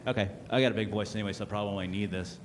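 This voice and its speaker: Frustrated resident guy, low voice, some background rumble. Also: fairly even intonation, rapid-fire. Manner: blunt, frustrated questioning. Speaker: resident at public meeting. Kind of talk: public comment at a meeting.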